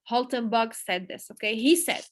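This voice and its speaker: A woman giving an emphatic motivational talk to her business team, repeating key phrases and pausing for effect. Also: a little high. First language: Arabic. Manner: emphatic, repetitive, pauses for emphasis. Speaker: network marketing leader giving a motivational talk. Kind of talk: motivational speech.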